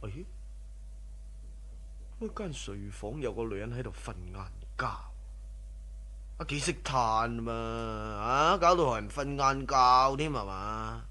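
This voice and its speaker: An actor playing a greedy, lecherous burglar muttering to himself in a radio drama, with drawn-out interjections. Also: male voice, mid-range voice, sharp tone. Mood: sly, scheming.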